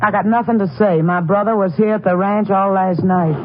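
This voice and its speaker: A woman's voice: mid-range voice, steady tone, a little fast, lightly acted. A woman playing a defiant, resentful ranch widow in a 1950s radio drama, curt and stubborn. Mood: resentful defiance. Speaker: actor. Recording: tinny, very noisy old radio recording.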